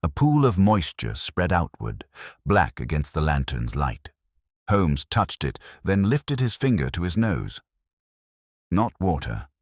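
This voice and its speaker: A man reading a scripted first-person mystery story as an audiobook narrator, in a measured, solemn, literary manner. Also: low voice, no echo, clean studio sound.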